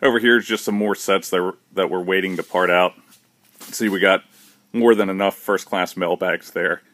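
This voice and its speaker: Low-voiced guy filming a warehouse tour on his phone, laid back, lots of uhs, dry close sound. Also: normal speed, no room sound. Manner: relaxed, matter-of-fact, with fillers and restarts. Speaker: shop owner giving a warehouse tour. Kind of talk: casual walkthrough narration. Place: large indoor warehouse with shelving. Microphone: handheld phone camera, speaker behind it.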